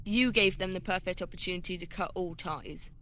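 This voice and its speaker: A woman's voice: fairly low pitch, a little fast, a bit bright, natural voice. A young woman playing a streetwise, supportive club MC in an audio drama. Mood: curious, approving. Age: young adult. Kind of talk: dystopian audio drama acting. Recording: dull, noisy home recording.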